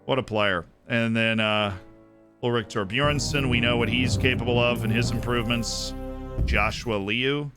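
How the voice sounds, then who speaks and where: A man streaming a football management game, commenting excitedly on matches and chatting directly with his viewers. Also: medium pitch, normal speed, over music. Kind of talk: casual live-stream commentary to chat.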